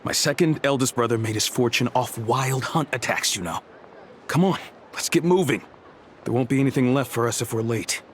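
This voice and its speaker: Actor as a pushy game scavenger: middle pitch, chatty, opportunistic; faint ambience. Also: male voice, lively intonation, moderate pace, slight background noise, lightly acted. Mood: eager, persuasive. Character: eager, opportunistic male scavenger. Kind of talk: video game character dialogue.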